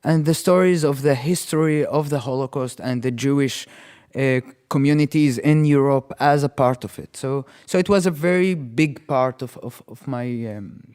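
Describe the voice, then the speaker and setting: Halting man. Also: medium pitch, darkish tone, smooth. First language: Arabic. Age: 30s. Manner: halting, repeats words as he thinks. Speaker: interview guest. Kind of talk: reflective personal storytelling.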